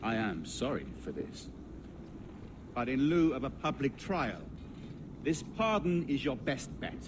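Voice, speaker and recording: An actor playing a courteous but firm colonial naval governor, speaking in formal, measured oratory for a game. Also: male voice, medium pitch, sing-song, background noise. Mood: formal, persuasive. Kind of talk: dramatic game cutscene acting.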